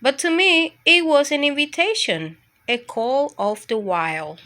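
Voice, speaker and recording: Woman reading as a bright-voiced mischievous storybook dog. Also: high voice, unhurried, voice pushed up. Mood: cheeky, excited. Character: mischievous, adventure-hungry dog telling its own escapade. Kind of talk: storybook first-person narration.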